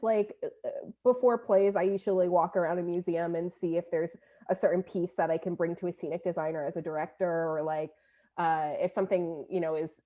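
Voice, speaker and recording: A woman's voice: lowish voice, mellow. A woman in a casual, informal panel conversation, posing questions as a theatre director, on slightly dull audio. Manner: informal, uses "like" often. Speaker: theatre director and producer, interviewer.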